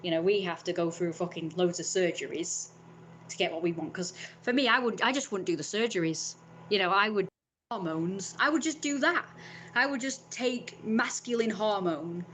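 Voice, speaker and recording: A young woman talks straight to camera in a loose, rambling way, blunt and unfiltered, swearing freely. Her voice sits in an ordinary middle pitch. A faint hum runs under the recording. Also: moderate pace, a bit bright. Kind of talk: casual vlog monologue.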